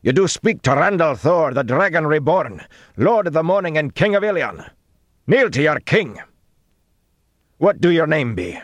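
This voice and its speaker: A man's voice: a little high, big pitch swings, moderate pace, pauses often, no room sound, voice pushed up. A proud, short-tempered lord, his voice pushed far above the reader's own. He snaps out imperious commands in an archaic rural dialect, in a dry fantasy audiobook recording. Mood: angry, commanding. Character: loud, bristling male lord, proud and snappish.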